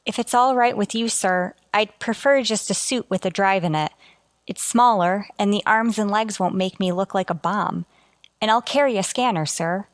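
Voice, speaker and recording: A woman's voice: medium pitch, moderate pace, no echo, natural voice. A woman playing an eager, brave and deferential spaceship officer in a sci-fi radio drama, reporting in a clipped, respectful military way. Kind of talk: golden age sci-fi radio drama acting.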